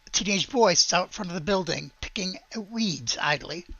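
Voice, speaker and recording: A woman with a slightly husky, gravelly voice running a tabletop roleplaying game as game master, moving between casual talk and read-aloud narration. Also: fairly low pitch, lively intonation, moderate pace, fairly bright tone, muffled recording.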